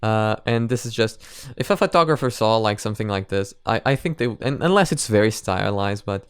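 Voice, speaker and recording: A man's voice: fairly low pitch, animated, fairly quick, smooth, dry recording, studio quality. A man hosting a reaction video, talking in a casual, rambling, conversational way.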